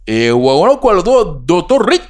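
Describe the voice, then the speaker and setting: A man putting on a mock Cuban accent as an excitable doctor giving scientific testimony in a comic impression. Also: high-pitched, normal speed, pitched above the performer's own voice. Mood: mock-earnest. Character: excitable Cuban doctor testifying about alien tissue samples. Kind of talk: comic impression on a talk show.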